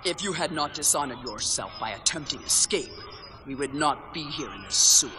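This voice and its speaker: A man's voice: a little high, expressive, moderate pace, noisy background, natural voice. A man playing a haughty, stern enforcer of an evil empire, imperious and formal, in a video game. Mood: contemptuous. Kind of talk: villain video game acting. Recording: hall reverb under loud music.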